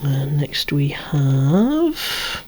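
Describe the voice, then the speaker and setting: A person whispering an ASMR show-and-tell of a collection in a hushed, breathy, unhurried way, musing aloud with wry asides. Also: mid-range voice, very animated, slight background noise. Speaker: toy collector presenting a collection.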